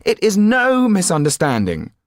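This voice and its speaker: A man's voice: high-pitched, huge pitch swings, normal speed, dry recording, clean studio sound, pitched above the performer's own voice. A man voicing a desperate aristocratic Frenchwoman in an audiobook, pleading and warning with imploring emphasis.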